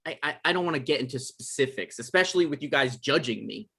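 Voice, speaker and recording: Male, comedy script read: actor as naive, defensive novelist, highly animated pitch. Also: fast, gravelly, slightly rough, full character voice. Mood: uneasy, defensive.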